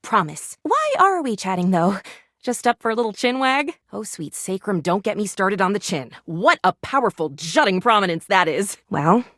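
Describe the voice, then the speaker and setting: A woman's voice: mid-range voice, sing-song, normal speed, fairly bright tone, no room sound, studio quality. A woman playing a perky, eccentric, gleefully morbid lady doctor in a video game, with gushing, old-fashioned, melodramatic phrasing. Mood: earnest. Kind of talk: animated video game character acting.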